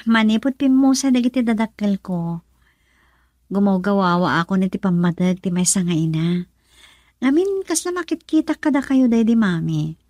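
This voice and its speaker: A woman's voice: medium pitch, expressive, normal speed, a little mellow, no room sound, lightly acted. A woman playing a troubled, yearning young wife and nurse in an Ilocano radio drama, speaking emotionally and pleadingly with an Ilocano accent. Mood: wistful, tearful.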